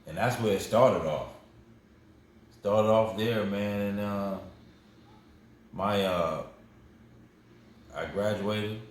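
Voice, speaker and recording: Forty-something man, deep voice, echoey room. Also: slow pace, long pauses. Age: 40s. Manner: rambling, repeats words, warm and proud about family. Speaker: guest. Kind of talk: casual storytelling interview. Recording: very echoey room with faint noise.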